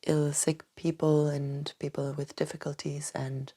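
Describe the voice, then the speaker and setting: A woman doctor from Tanzania answering interview questions thoughtfully, with many hesitant restarts and soft trailing phrases. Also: low-pitched, little pitch movement, measured pace, breathy edge. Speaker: doctor and acupuncturist, podcast guest. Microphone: podcast microphone.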